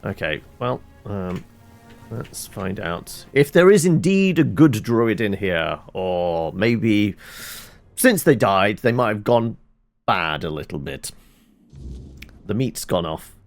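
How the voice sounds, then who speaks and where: A man with a low, gravelly voice hosts a casual game stream. He rambles and thinks aloud, chatting as he plays, and his pitch moves around freely. Music plays softly behind him. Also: lively intonation, slow. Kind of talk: casual let's play commentary.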